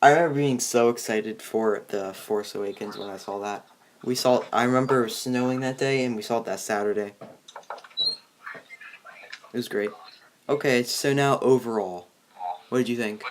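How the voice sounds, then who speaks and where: A man with a medium-pitched voice chats casually as a movie review host. He talks in a loose, rambling way and often stumbles over his words as he goes. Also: moderate pace, pauses often, a little hiss or hum.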